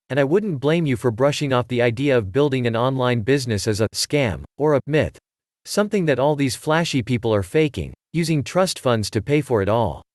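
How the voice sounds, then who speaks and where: Male narrator, medium pitch, scripted marketing explainer, measured and articulate, dry studio-like sound. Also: dry recording. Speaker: voice-over narrator.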